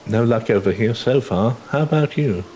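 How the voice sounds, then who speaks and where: A man's voice: mid-range voice, moderate pace, dark tone, slight background noise, natural voice. A man voicing a polite, earnest young male adventurer in a fantasy game, with formal, sincere and careful phrasing. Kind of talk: fantasy game character read-aloud.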